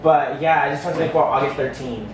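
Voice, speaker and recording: A sassy young man in his twenties with a slightly high voice, chatting loosely. He sits far from the mic in a very echoey room, with noise clearly behind him. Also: fast talker. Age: 20s. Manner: sassy, lively interjections. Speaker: podcast panelist. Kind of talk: casual chat.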